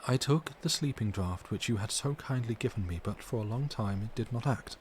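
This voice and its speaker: A man voicing a distressed but tender and brave young wife, recounting in an earnest, measured, formal way for an audiobook. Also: lowish voice, close to an everyday voice. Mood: troubled recounting. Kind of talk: dramatic audiobook character reading. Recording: steady background noise.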